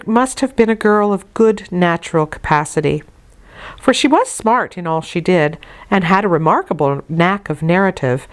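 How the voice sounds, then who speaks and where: A woman reading a classic novel aloud as an audiobook, in a measured, deliberate storytelling manner. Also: on the low side. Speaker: audiobook reader. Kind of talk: scripted narration of a classic novel, read aloud.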